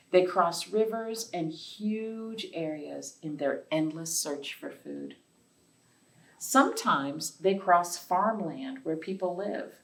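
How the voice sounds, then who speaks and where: A woman's voice: deep voice, gravelly. A woman hosting a children's storytime, reading aloud and chatting simply to young listeners, with questions and asides. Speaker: library storytime host. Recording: distant, off-mic voice.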